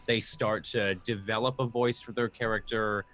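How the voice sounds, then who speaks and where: A young man who is a guest voice actor and game master, chatting casually in an animated, self-deprecating way. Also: on the low side, moderate pace. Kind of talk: casual interview chat.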